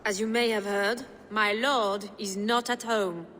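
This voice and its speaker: Woman playing a haughty, slow-spoken Frankish noblewoman. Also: medium pitch, fairly even intonation, a bit bright, big-room sound, a little hiss or hum, lightly acted. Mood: disdainful, weary. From Francia. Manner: haughty, weary, formal. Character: proud, disdainful Frankish noblewoman, lord's wife. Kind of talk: dramatic game cutscene acting.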